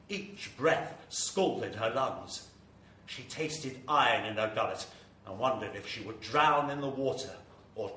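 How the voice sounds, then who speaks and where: An ominous horror storyteller, played by a man, with a bright, forceful voice. He narrates theatrically and suspensefully in an echoey live space, a little away from the mic. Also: medium pitch. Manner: theatrical, suspenseful, building to intensity. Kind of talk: scripted dramatic horror narration. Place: live performance venue with audience. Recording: echoey live hall, distant mic, faint noise.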